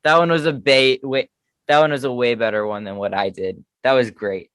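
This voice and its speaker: Easygoing man co-host, raspy voice with a high edge, close dry sound. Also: steady tone, fairly quick, fairly bright tone, dry recording. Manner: easygoing, self-deprecating. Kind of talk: casual fan chat.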